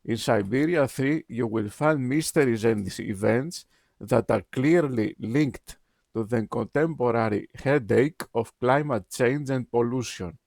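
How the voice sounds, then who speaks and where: A man whose first language is Greek, reading his written game review aloud in a slow, deliberate, emphatic way with many pauses. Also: medium pitch, darkish tone, no echo, studio quality.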